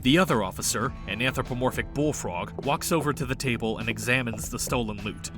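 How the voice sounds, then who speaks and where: A man's voice: mid-range voice, fairly quick. A man reading scripted story narration for an audio drama, with music playing underneath.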